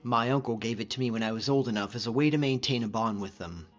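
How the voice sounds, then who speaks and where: A man playing a friendly, modest lone-wolf hunter as a video game companion, speaking in an earnest, measured way. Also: medium pitch, fairly bright tone, muffled recording, faint music behind, natural voice. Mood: reflective, candid.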